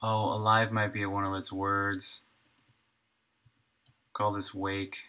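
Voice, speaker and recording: A man's voice: lowish voice, monotone, slow pace, pushed and bright, clean tone. A man in his thirties, a game developer thinking aloud casually on a live stream while coding, muttering to himself in short fragments at his desk. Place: small room indoors, at a computer desk.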